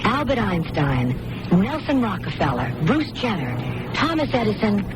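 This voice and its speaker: A woman reading a scripted public service announcement as a charity spokeswoman, deliberate and earnest. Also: medium pitch, very animated, noisy background. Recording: old radio spot with music.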